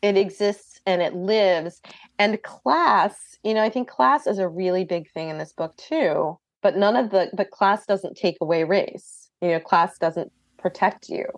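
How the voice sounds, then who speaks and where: A woman's voice: mid-range voice, a little slow, dry recording, muffled recording. A middle-aged woman, a guest author, answering podcast interview questions in an earnest, thoughtful way.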